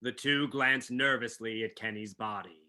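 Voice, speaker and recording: A man's voice: fairly low pitch, fairly even intonation, normal speed, pushed and bright. A man reading scripted narration for a staged play reading.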